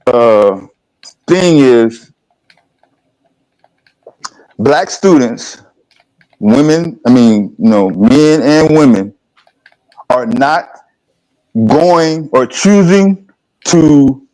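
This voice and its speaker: A man in his fifties from the American South, hosting a livestream discussion in a plainspoken, halting way. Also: medium pitch, expressive, darkish tone, low-quality recording. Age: 50s. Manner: plainspoken, halting, asks rhetorical questions. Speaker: livestream host. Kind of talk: casual discussion.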